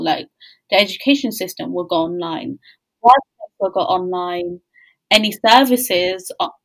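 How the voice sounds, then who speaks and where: A woman's voice: medium pitch, rough recording. A woman hosting a casual interview, talking informally and dropping "like" often into her sentences. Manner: informal, frequent use of "like". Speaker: interview host.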